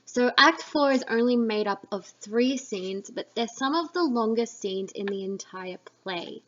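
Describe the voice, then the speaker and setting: A woman teacher narrating a lesson summary in a measured, explanatory way. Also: a little high, lively intonation, muffled recording, slight background noise.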